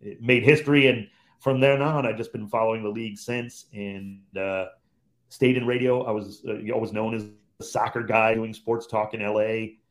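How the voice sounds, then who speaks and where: A man in his fifties, originally from Cleveland, talks about his years in radio. His low, unhurried voice carries easy, loosely phrased recollections, the way a seasoned play-by-play commentator chats as a guest. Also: steady tone. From Cleveland, Ohio, USA. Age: 50s. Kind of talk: relaxed storytelling in conversation.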